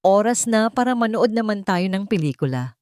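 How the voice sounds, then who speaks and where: A woman voicing a stern, wealthy older father-in-law in a narrated story, measured, solemn and authoritative. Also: mid-range voice, animated, a little mellow, no room sound, voice pushed up, close to an everyday voice. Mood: cold, commanding. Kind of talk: acted dialogue in a narrated story.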